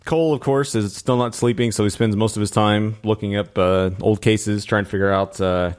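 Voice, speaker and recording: A man hosting a podcast, recapping in a casual, halting way as he talks. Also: lowish voice, steady tone, a little mellow. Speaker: podcast host recapping TV episodes.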